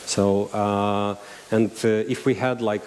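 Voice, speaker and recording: A man with a Russian accent giving a conference talk, halting with many fillers and restarts. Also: fairly low pitch, steady tone, a little mellow. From Belarus. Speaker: conference speaker. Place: conference hall. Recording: hall reverb, faint hiss.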